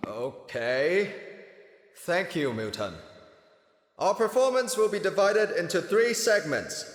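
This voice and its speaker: In a reverberant hall, a male actor plays a brisk, organized rehearsal director. His voice is fairly high and animated, with an instructive, orderly delivery. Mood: businesslike. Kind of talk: audio drama acting.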